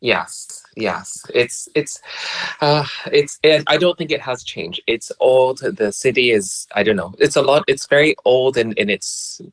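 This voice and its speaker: A polite young man from Brunei with a Canadian-tinged English accent, chatting as a podcast guest over a remote call, soft-spoken and hesitant with frequent restarts. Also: mid-range voice, lively intonation, measured pace. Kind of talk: casual chat.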